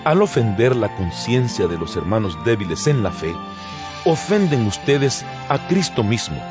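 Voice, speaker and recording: Apóstol serio, muy expresivo. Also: male voice, fairly low pitch, big pitch swings. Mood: earnest, instructive. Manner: measured, emphatic, didactic. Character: earnest male apostle addressing his congregation. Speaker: narrator of an audio Bible. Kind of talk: dramatized scripture reading. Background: background music.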